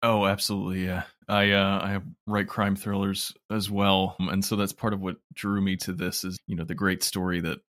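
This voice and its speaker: Low male voice, interview guest, conversational explaining, audio producer, very dry close sound. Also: level tone, moderate pace, hardly any pauses, fairly bright tone, no echo.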